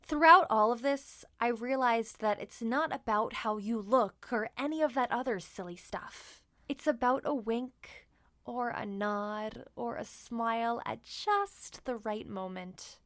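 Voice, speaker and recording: Female storybook narrator, fairly high voice, unhurried, playful dramatic emphasis, dry close sound. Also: fairly bright tone, no echo. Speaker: children's book read-aloud narrator.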